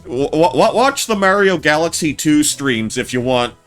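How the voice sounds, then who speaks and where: A man streaming a game, chatting casually in a naturally low voice, often stammering and restarting his sentences. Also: expressive, a little slow. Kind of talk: casual let's-play commentary. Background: game music.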